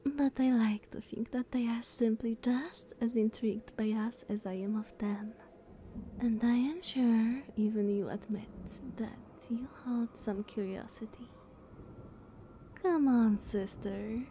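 A woman voicing a playful, eager younger vampire sister in a teasing, breathy ASMR roleplay. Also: a little high, steady tone, dark tone, slight background noise, lightly acted. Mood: coaxing. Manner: slow, teasing, breathy half-whispers.

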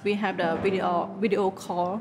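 A woman in her thirties from northeastern Thailand, a restaurant owner and chef speaking simple broken English with a Thai accent in a documentary interview, soft and earnest. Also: mid-range voice, little pitch movement, brisk. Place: indoor restaurant dining room. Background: background music.

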